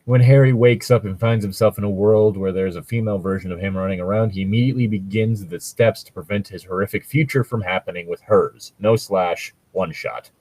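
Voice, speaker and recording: A man's voice: on the low side, a little fast, few pauses, darkish tone, dry recording, rough recording. A man co-hosting a podcast in casual banter and mock story reading, with a wry, sweary manner and a fondness for puns. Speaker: podcast co-host.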